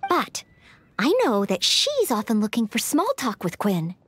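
An actress playing an earnest, polite young maid knight in an anime-style video game, hesitant and apologetic. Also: female voice, on the high side, huge pitch swings, unhurried, fairly bright tone, slight background noise, exaggerated voice.

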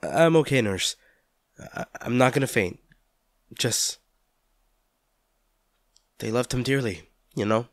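A man playing an anguished, tender lover comforting an injured partner in a hospital audio roleplay, speaking haltingly and with emotion. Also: medium pitch, lively intonation, dry recording, clean studio sound. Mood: shocked, anxious. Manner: halting, stammering, emotional delivery. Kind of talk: audio roleplay drama, one-sided dialogue.

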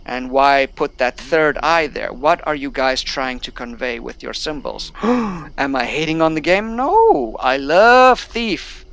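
A man's voice: a little high, big pitch swings, slow, gravelly, fairly bright tone, a little hiss or hum. A young man who is not a native English speaker, reading tutorial text aloud and chatting casually while playing a game. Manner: reads on-screen tutorial text aloud, then chats. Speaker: gameplay streamer. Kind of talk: casual let's-play commentary.

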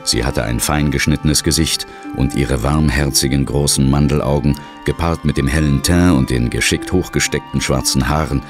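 An actor playing a wry, determined ghost-hunting detective in a German audio drama, speaking and narrating in a measured way. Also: male voice, rumbling low.

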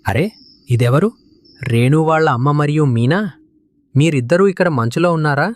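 A man's voice: a little high, moderate pace, dry recording, lightly acted. A man voicing a kindhearted, protective male pigeon, concerned and resolute, in a children's cartoon. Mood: surprised, concerned.

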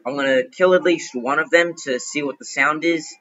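A young man giving chatty, excitable commentary while playing a game, thinking out loud as he goes. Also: fairly high pitch, normal speed, highs cut off. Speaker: gaming youtuber. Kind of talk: casual gameplay commentary.

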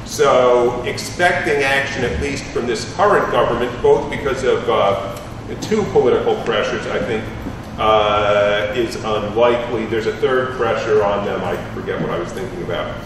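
A man's voice: lowish voice, normal speed. Man, thirties, panelist, wry, analytical, lots of ums, echoey room, distant mic. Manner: analytical, wry, many fillers. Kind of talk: panel discussion talk. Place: large room with panel table. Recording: echoey room from far off, noisy.

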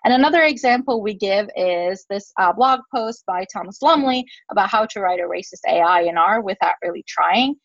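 A woman's voice: medium pitch, animated, normal speed, hardly any pauses, no room sound, lo-fi sound. A woman whose first language is Turkish, giving a university teaching talk over a video call and answering questions, explaining with fillers and restarts. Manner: explanatory, fillers and self-corrections. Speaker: guest speaker, professor. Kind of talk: webinar talk and Q&A.

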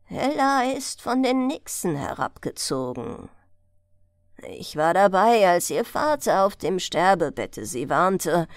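Woman voicing a slow, grave old fisherman. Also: on the low side, big pitch swings, dry recording, clean studio sound, exaggerated voice. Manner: slow, solemn. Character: eighty-year-old male fisherman, grave and resigned.

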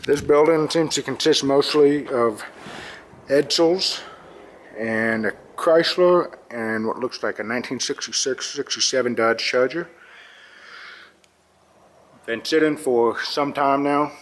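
Man, middle pitch, calm matter-of-fact narration, handheld camera mic, faint hiss. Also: slow, long pauses. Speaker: vlogger filming a barn find. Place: inside a dusty metal barn.

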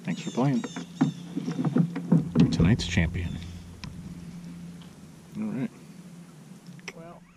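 A middle-aged man filming himself fishing from a kayak on a lake, swinging between excited exclamations and muttered asides. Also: fairly low pitch, extremely slow, long pauses. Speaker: fishing vlogger. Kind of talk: casual fishing commentary. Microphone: action camera mounted on the kayak. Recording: dull outdoor action-camera audio with wind and water noise.

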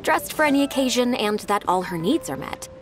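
An eager, devoted young secretary from a game. She explains her duties briskly and earnestly, and her voice moves up and down a lot. Soft music plays behind her. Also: fairly high pitch, sing-song. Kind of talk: game voice acting.

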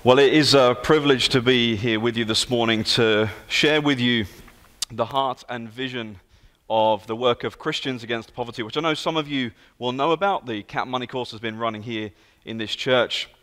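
A man's voice: mid-range voice, moderate pace. A man in his thirties with a London British accent, giving an earnest, storytelling guest sermon in a large church. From England. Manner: earnest, storytelling, lively emphasis. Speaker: guest speaker. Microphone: handheld and stage mic. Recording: long hall reverb.

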